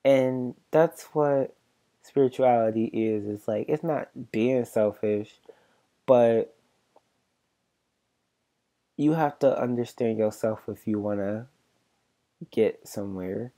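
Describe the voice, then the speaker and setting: A soft-spoken man in his mid-twenties chats loosely as a podcast host, his tone mellow and dark. He stops and restarts often and keeps leaning on filler words. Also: mid-range voice. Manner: halting, filler-heavy, many restarts and likes. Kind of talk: rambling casual chat.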